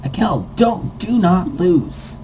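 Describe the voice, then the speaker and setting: A man voicing an excitable young woman, a loud and passionate fan in a visual novel. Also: sits high, slow, slight background noise, pitched above the performer's own voice. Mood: pleading. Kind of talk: visual novel character read-aloud.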